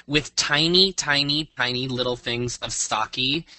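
Very bright-voiced wry woman. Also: rumbling low, unhurried. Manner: wry, joking asides. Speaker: podcast co-host. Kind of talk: casual chat. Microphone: remote call.

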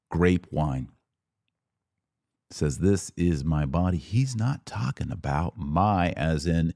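Male podcast host, sermon-like monologue, slow deliberate delivery, swooping lively pitch, dramatic pauses. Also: no echo, clean studio sound.

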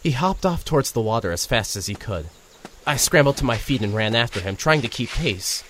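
A man's voice: mid-range voice, expressive, a little fast, a bit bright, slight background noise, natural voice. A man playing a young reporter who narrates an audio drama in a wry, conversational way.

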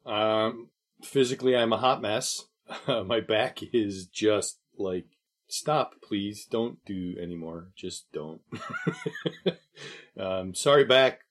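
A man co-hosting a podcast in casual chat, laid-back, laughing often and trailing off quietly. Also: on the low side, slow. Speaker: podcast co-host.

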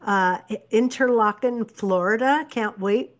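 A woman co-hosting a live chat show, upbeat and encouraging, reading out viewer shout-outs. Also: on the high side. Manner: upbeat, encouraging, quick interjections. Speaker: livestream co-host.

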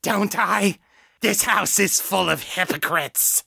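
A man's voice: high voice, slow, gravelly, sharp tone, slightly rough, dry recording, clean studio sound, pitched above the performer's own voice, exaggerated voice. A man voicing a teenage girl who puts on a sneering, mocking demon voice. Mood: mocking malice.

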